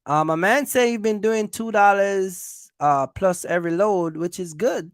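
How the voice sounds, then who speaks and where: A man's voice: high voice, measured pace, no echo, studio quality. A man hosting a casual livestream, giving business advice straight to viewers in an emphatic, repetitive way. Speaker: host of a trucking business livestream.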